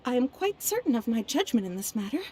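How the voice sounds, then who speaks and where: A woman's voice: on the high side, very animated, quick pace, warm tone. A woman playing an earnest, refined young woman in a video game, speaking politely and formally. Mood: composed, earnest. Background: game music.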